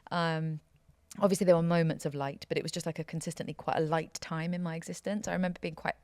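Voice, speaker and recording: A woman, a singer chatting as a podcast guest and telling stories in a lively, laughing way. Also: low voice, fast, no echo, studio quality. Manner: chatty, laughing, animated storytelling. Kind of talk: casual interview chat.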